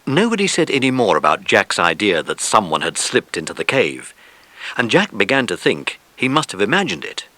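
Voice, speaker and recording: A man's voice: on the low side, huge pitch swings, normal speed, sharp tone, no room sound. A man reading scripted narration for a children's adventure audiobook.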